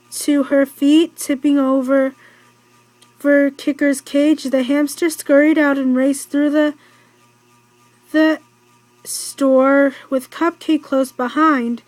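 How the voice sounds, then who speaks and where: High-pitched girl reading a storybook slowly. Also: darkish tone, no echo, low-quality recording. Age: child or teen, in school. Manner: halting, stumbles over words and corrects herself. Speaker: read-aloud narrator. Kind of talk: reading a children's storybook aloud.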